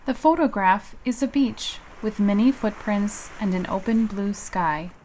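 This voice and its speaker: A woman reading audio descriptions of photographs in an even, matter-of-fact way. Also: medium pitch, unhurried, slight background noise, poor audio. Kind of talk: plain descriptive narration of photographs.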